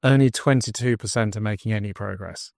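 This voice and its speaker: English man with a smooth voice. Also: fairly quick, a little mellow, no room sound, studio quality. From England. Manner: earnest storytelling pitch. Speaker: drinks brand founder, guest. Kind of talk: podcast interview.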